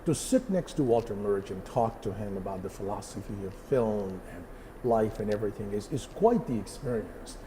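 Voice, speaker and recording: A man's voice: lowish voice, lively intonation, moderate pace, background noise. A middle-aged man from Pakistan, a photographer answering interview questions thoughtfully, with many fillers and restarts.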